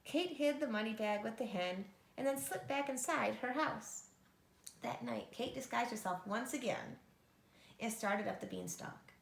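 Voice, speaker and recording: Creaky-voiced woman reading a storybook. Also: fairly high pitch, a little slow, a little hiss or hum. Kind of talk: expressive storybook narration. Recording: echoey room.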